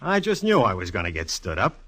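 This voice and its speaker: An actor playing a smart, teasing police inspector in a vintage radio detective drama, with slangy, deadpan banter. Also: male voice, lowish voice, brisk, exaggerated voice. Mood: playful. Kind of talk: 1940s radio detective acting.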